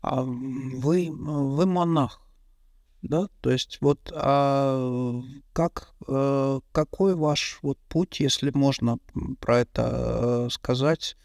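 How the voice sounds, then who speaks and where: A middle-aged man hosting an interview, asking his questions haltingly with many fillers, into a studio mic. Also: medium pitch, fairly even intonation, vocal fry, a little mellow, no room sound. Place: bright indoor room, interview set. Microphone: studio mic on a boom.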